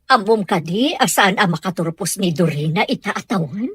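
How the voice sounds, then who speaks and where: A woman's voice: mid-range voice, animated, moderate pace, a bit bright, slightly rough. A woman acting as a worried, indignant mother in an amateur audio drama, emphatic and full of questions.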